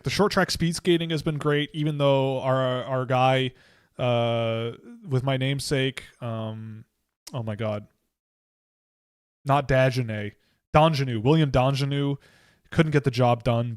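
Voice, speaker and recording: A young man hosting a livestream, rambling excitably with frequent restarts. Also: medium pitch. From Canada. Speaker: livestream host. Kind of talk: casual livestream chat.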